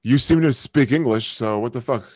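A man hosting a live stream, heckling strangers in random video chats with loud, crude, swearing banter. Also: medium pitch, normal speed. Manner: loud, taunting, swearing often. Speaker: streamer host.

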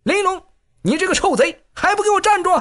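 A sharp, high young heroine who shrieks her insults, as a male storyteller puts her on. Her voice jumps far above the reader's own and stays piercing. The delivery is lively and pitched for a story read aloud. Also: fast, exaggerated voice. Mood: furious. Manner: shrill, scolding. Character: fierce young woman fighter. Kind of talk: storytelling audiobook.

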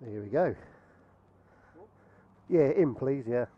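A man's voice: medium pitch, lively intonation, takes it slowly. A man, chatting casually and dryly about the roads as he rides a motorbike. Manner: chatty, dry, self-mocking asides. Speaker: motovlogger. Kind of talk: casual running commentary while riding. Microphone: helmet camera mic. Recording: muffled helmet-cam audio with road noise.

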